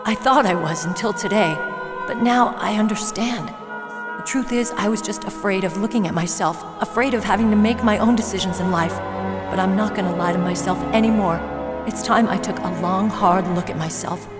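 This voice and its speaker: Young female soldier for a game, played by an actress; earnest, measured, mid-pitched, in a reverberant hall. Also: music in the background, close to an everyday voice. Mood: reflective, wistful. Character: young female soldier, earnest, self-doubting yet determined. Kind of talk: video game voice acting.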